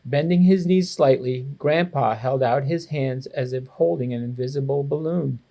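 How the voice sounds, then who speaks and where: A man reading a children's storybook aloud to students with expressive, clear phrasing, like a school educator. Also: mid-range voice, moderate pace. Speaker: assistant principal reading to students.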